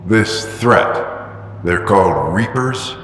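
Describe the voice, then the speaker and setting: An actor playing a stern, secretive middle-aged explorer in a video game, terse and commanding. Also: male voice, on the low side, expressive, very slow, fairly bright tone, soft background music, full character voice. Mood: serious, urgent. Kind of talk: video game dramatic acting. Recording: echoey hall, faint noise.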